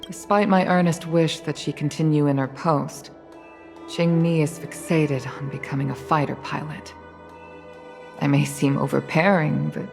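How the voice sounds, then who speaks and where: A woman's voice: sits low, vocal fry, darkish tone. A woman voicing a stern, protective mother and dignified commander in a video game, with an authoritative, formal delivery. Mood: weary, regretful. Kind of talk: dramatic video game voice acting.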